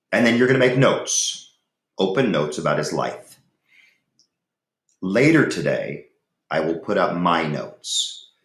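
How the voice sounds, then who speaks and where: Low-voiced male teacher in an echoey room. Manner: deliberate, instructive, with pauses. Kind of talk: lesson talk and chapter read-aloud.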